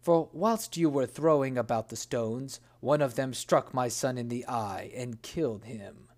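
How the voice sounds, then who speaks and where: A storybook reader voicing a huge, menacing male genie who speaks low and blunt. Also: measured pace, darkish tone, natural voice.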